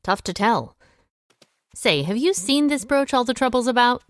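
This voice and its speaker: A woman's voice: a little high, lively intonation, moderate pace, natural voice. A woman playing a guarded, independent small-town amateur sleuth in an audio drama, with a wry, reserved manner. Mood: wry, businesslike. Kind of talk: audio drama dialogue acting.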